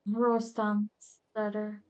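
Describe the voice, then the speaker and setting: A woman's voice: mid-range voice, completely flat delivery, extremely slow, clear, resonant tone, dull audio, soft background music. A woman gaming creator casually reacting to a playthrough and reading bits of dialogue aloud.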